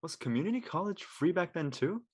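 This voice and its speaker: A man's voice: fairly high pitch, lively intonation, brisk, husky. A man playing a curious student son in a drama reading, casual, slangy and inquisitive.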